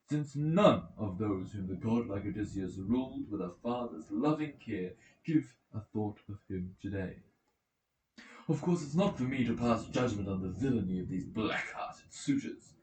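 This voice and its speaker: A man's voice: mid-range voice, darkish tone, slight background noise, lightly acted. A man voicing an earnest, scolding old nobleman giving an emphatic speech in an audiobook of an epic. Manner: emphatic, declamatory. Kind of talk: dramatic audiobook character reading. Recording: roomy echoing home recording.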